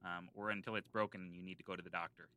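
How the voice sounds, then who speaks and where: A man plays an earnest local history buff on a comedy podcast. His low voice is very bright and crisp, and he rambles through hesitant, mock-scholarly explanations. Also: flat delivery, rapid-fire, dry recording. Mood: earnest, rambling. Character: rambling, self-serious small-island local man explaining town lore. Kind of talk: improvised comedy podcast banter in character.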